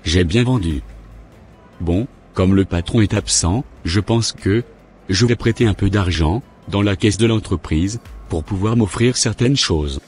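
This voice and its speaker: A man playing a wayward, sheepish young swindler with a husky voice in an amateur cartoon, music behind him. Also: low-pitched, unhurried, darkish tone. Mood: pleased. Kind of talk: amateur animated drama acting. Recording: background music.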